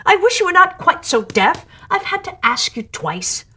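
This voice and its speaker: Casting: woman as greedy male carpenter for a storybook, raised pitch, swoopy delivery, curt and complaining. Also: sing-song, moderate pace, sharp tone, heavily acted. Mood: irritable.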